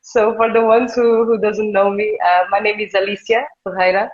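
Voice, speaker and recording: A woman from Portugal with a Portuguese accent, hosting a live video conversation in English, pausing often to search for words. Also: medium pitch, level tone, muffled recording. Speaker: host, tantra coach. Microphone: video call.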